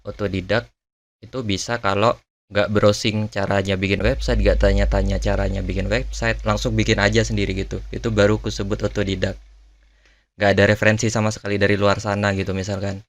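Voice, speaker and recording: Male host, lower register, informal and musing, for a relaxed question-and-answer chat. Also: fairly quick. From Indonesia. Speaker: language channel host answering viewer questions. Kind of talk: casual Q&A chat.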